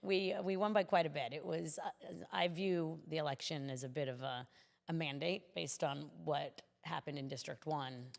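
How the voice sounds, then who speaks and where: A woman's voice: low-pitched, moderate pace, creaky, big-room sound. A woman speaking as a city commissioner in a conversational interview, explaining things earnestly.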